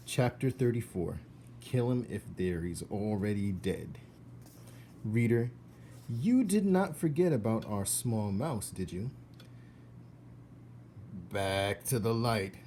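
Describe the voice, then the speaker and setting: A man reading a children's storybook aloud in an expressive narrating style. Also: on the low side, takes it slowly, long pauses, slight background noise.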